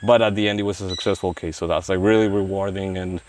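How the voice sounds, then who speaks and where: A man from Mexico, talking through an interview about wildlife work in a halting way, with many restarts and fillers. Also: low voice, fairly even intonation. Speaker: wildlife veterinarian. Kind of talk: casual interview. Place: outdoors in a rainforest release site. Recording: faint outdoor noise, rain. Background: faint rain and forest noise.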